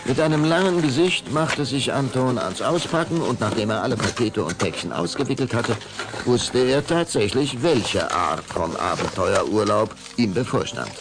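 A man narrating a scripted story dramatically, with measured phrasing and suspenseful pauses. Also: mid-range voice, expressive, background noise.

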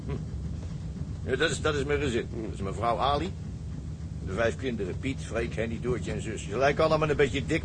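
A man's voice: mid-range voice, noisy background, close to an everyday voice. An actor playing a jovial, homely ship's engineer and family man in a radio drama, chatting in a folksy way. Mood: proud, amused.

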